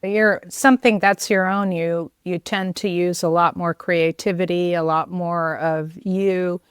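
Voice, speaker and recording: A woman's voice: fairly low pitch, little pitch movement, measured pace, clear, resonant tone, dry recording, clean studio sound. A woman with an American accent hosting a podcast, speaking conversationally as she interviews a guest. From United States. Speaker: podcast host.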